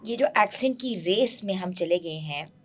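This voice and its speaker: A woman's voice: fairly low pitch, expressive, moderate pace. A woman hosting a podcast interview, chatty and slipping English phrases into her questions. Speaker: podcast host.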